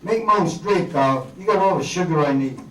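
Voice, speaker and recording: A man's voice: a little high, normal speed, lightly acted. Man playing wisecracking noir tough guy, echoey hall. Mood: flirty. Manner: wry, slangy. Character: wisecracking hardboiled male detective-drifter, flirtatious, down on his luck. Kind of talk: 1950s radio noir acting, read by an amateur performer. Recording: echoey hall, distant microphone.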